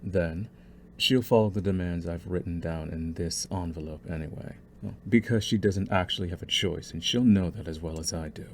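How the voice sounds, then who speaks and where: Male actor as young crime lord, low-pitched voice, smug, condescending, possessive menace, ASMR audio roleplay, noticeable background noise. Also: moderate pace. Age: young adult. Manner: taunting, condescending, theatrical.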